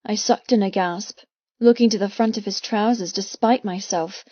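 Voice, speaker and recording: A female audiobook narrator with a medium-pitched voice reads first-person fiction expressively and intensely. The recording is very dry and slightly muffled, with no room around the voice. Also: steady tone, moderate pace, warm tone, dry recording. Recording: slightly muffled, dry close studio sound.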